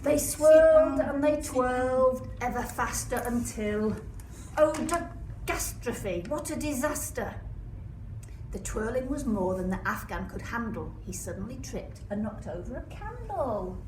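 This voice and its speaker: Female storyteller, teacher-like, expressive rhyming read-aloud. Roomy, off-mic sound with clear background noise. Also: on the high side, measured pace. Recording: echoey room, distant mic, noisy background.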